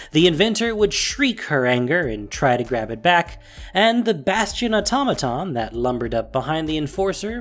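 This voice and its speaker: Narrator, male: dramatic scripted storytelling, swooping intonation, emphatic stresses, soft music behind. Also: a little high.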